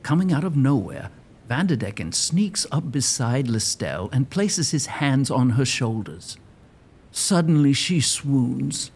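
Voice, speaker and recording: A man narrating a scripted audio drama with suspenseful, deliberate emphasis. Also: mid-range voice, sing-song, darkish tone, husky.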